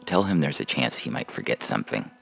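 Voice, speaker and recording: Audio fiction official, played by a man: extremely low, creaky voice, brisk and formal, music underneath. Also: big pitch swings, a bit bright, full character voice. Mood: formal, businesslike. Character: brisk businesslike male official running an adoption procedure.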